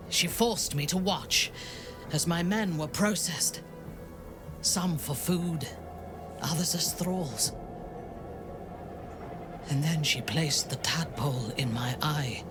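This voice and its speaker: A woman playing a proud, vengeful, long-lived dark elf noblewoman in a video game, speaking in a measured, guarded, formal manner. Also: low-pitched. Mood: grim, haunted. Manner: measured, deliberate, grave, formal phrasing. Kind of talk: dramatic video game acting. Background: background music.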